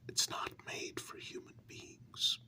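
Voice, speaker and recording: A man performs a hurt, earnest human lover confronting a vampire partner in an ASMR role-play. The voice is a breathy, sharp-edged whisper. Words are drawn out slowly, with emphatic pauses. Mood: reproachful, insistent.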